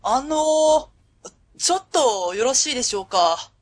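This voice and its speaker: High-voiced, warm woman company president played by an actress. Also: animated, a little slow. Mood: polite, modest. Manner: polite, hesitant openers, fond chuckles. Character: friendly middle-aged woman company president, warm and modest. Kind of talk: Japanese web radio drama acting.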